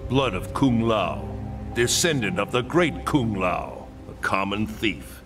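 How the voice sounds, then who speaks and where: A man's voice: on the low side, lively intonation, takes it slowly, music in the background. A man playing a solemn, wise thunder god mentor in a video game, speaking with formal, grave diction. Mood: stern. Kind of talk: dramatic video game cutscene acting.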